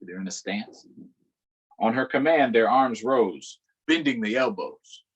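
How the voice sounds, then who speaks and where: A man reading a picture book aloud to children in an unhurried way, pausing for short explanatory asides. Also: on the low side, slightly rough, poor audio. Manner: unhurried, adds explanatory asides to young listeners. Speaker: storytime reader.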